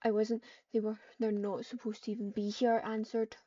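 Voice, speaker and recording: A woman's voice: on the high side, level tone, normal speed, mellow. A woman casually talking and reading out lines while roleplaying in an online game. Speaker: gamer, video creator. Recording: muffled, distant microphone.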